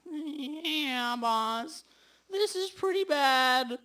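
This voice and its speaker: An actor playing a bumbling, clumsy, eager detective's sidekick with a goofy, halting delivery in a comic radio drama. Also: high voice, animated, sharp tone, heavily acted. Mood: eager, agreeing. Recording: distant mic, noisy live crowd.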